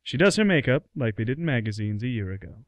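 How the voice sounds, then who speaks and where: Animated male radio play narrator. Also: medium pitch, fast, no echo, highs cut off.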